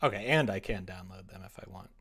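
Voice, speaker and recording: A man's voice: low-pitched, fast talker, a bit bright, breathy edge. A young man chatting casually and playfully as a livestreamer, reading and answering his viewers at his computer. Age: young adult. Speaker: streamer. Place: small room at home, indoors.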